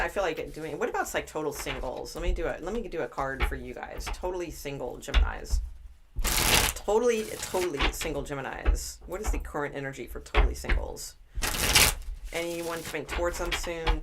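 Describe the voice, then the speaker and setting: A woman with a notably low, deep-set speaking voice reads tarot cards for a live audience. She talks in a loose, chatty way, restarting her sentences and leaning on fillers as she thinks aloud. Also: a bit bright. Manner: chatty and rambling, many fillers and restarts, greets viewers. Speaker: tarot reader. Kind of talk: live-streamed tarot reading.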